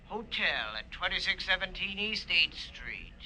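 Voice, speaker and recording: A man playing a fussy, self-important pawnbroker in a 1950s radio drama, speaking with pompous, halting over-emphasis. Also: high voice, very animated, pushed and bright, exaggerated voice, low-quality recording.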